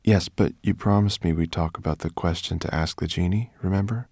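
A man's voice: deep voice, fairly quick, a little mellow, dry recording, studio quality. A man voicing a kind, sensible young boy who speaks plainly, earnestly and protectively in a storybook. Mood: gently urging.

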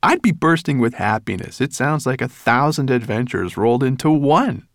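A man's voice: medium pitch, expressive, normal speed, dry recording, clean studio sound, pitched above the performer's own voice, lightly acted. A man voicing an eager young boy in a storybook reading.

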